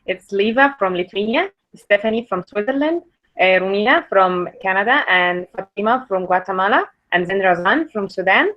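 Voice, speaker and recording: A woman's voice: mid-range voice, lively intonation, moderate pace. Eager woman from Egypt, muffled audio. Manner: eager, informal. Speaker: session team member presenting. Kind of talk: online presentation. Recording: dull lo-fi audio.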